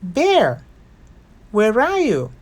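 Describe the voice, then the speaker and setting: Caring storybook woman, acted by a female reader: gentle, coaxing, very unhurried, animated pitch. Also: full character voice. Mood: calling out. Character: caring woman looking after her little bear.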